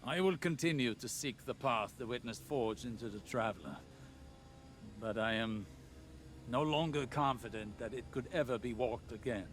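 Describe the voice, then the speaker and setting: An actor playing an older scholarly warlock in a video game, grave and proud, with formal, measured, erudite speech. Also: male voice, mid-range voice, lightly acted. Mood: grave, troubled.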